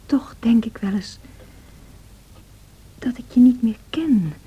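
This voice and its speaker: A woman's voice: fairly high pitch, animated, mellow, breathy edge, highs cut off, full character voice. A woman playing a tempestuous, self-pitying young married woman in a radio drama, theatrical and emotional. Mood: reproachful, tense. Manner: theatrical, emotional, slow and deliberate. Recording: noisy old radio drama recording.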